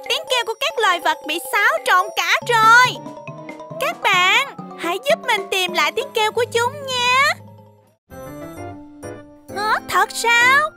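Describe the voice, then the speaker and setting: A woman voicing an eager, friendly childlike fox explorer in a children's cartoon, expressive and addressing young viewers. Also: very high voice, over music. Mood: cheerful, eager. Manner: exaggerated, slow and expressive for small children.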